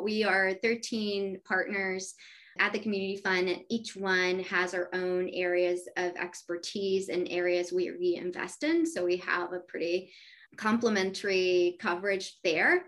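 A woman whose first language is Spanish, a venture capital partner speaking enthusiastically as an interview guest. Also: fairly low pitch. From United States. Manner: upbeat, enthusiastic, businesslike. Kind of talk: conversational interview.

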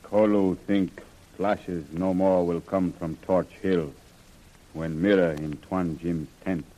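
A man playing a loyal native hunting servant who speaks halting broken English in an old radio adventure. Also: low-pitched, steady tone, mellow, poor audio. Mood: solemn, loyal. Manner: halting broken English, refers to himself by name.

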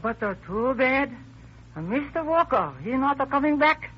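An actor playing a talkative, deferential Japanese rooming-house landlord in hesitant broken English, in an old-time radio drama. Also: male voice, very high voice, animated, normal speed, breathy edge, full character voice. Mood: pleased, chatty. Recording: muffled, hissy old radio recording.

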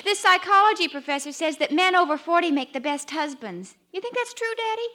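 Very high-pitched, girlish daughter. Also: expressive, a little fast, pushed and bright, exaggerated voice. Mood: chatty, innocent. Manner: girlish, earnest. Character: pert, chatty young daughter, innocently needling. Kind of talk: 1940s-50s radio sitcom acting. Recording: vintage radio show recording.

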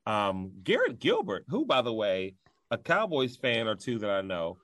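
A man co-hosting a casual sports talk show, animated and laughing as he rants. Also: mid-range voice, normal speed, no echo, studio quality. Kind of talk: casual podcast banter.

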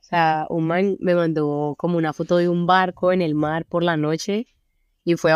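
A young Colombian woman with a Colombian Spanish accent, chatting casually as an interview guest, relaxed and full of fillers. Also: on the low side, moderate pace, clear, resonant tone, no echo, studio quality. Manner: relaxed, many fillers like "o sea" and "como". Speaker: singer-songwriter guest. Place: friends' apartment.

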